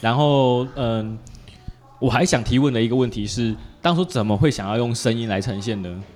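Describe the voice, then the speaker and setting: A man's voice: medium pitch, moderate pace, darkish tone. A man with a Taiwanese Mandarin accent hosting a casual chat, telling and asking things in a colloquial way full of conversational particles. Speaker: podcast host.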